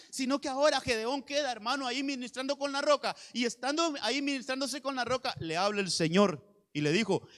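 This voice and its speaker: High-pitched Honduran preacher man in reverberant hall. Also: fairly bright tone. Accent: Honduran Spanish. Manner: exhortative, repeats phrases, often addresses listeners directly. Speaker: pastor. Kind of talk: evangelical sermon.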